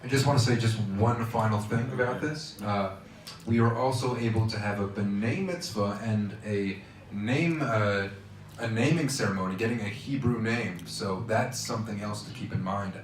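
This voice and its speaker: A man's voice: lowish voice, moderate pace. A man in casual radio chat as a guest, talking in an earnest, urging way. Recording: roomy, distant mic, faint noise.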